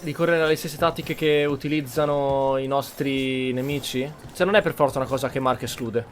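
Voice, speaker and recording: A man's voice: medium pitch, steady tone, a little fast, slight background noise. An Italian man giving casual gameplay commentary as the host of a gaming channel.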